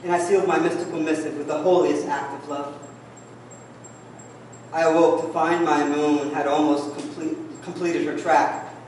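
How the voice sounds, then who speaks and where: A man reading his poem aloud in a measured way, his voice fading softer toward the ends of lines. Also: on the high side, level tone, a little hiss or hum. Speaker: poet reading his work. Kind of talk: poetry reading. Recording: echoey room from a distance.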